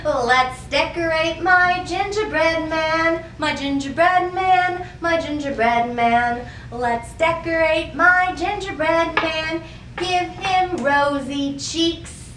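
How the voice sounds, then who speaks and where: A woman's voice: extremely high pitch, takes it slowly, fairly bright tone. A woman hosting a children's storytime in a sing-song, questioning manner, giving instructions and reading picture books. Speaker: children's library storytime host. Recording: roomy, distant mic, faint background noise.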